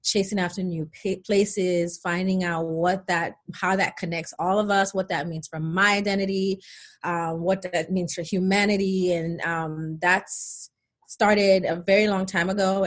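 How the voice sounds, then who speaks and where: Woman from Nigeria, conversational storyteller. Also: fairly low pitch. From Nigeria, born in England. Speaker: interview guest.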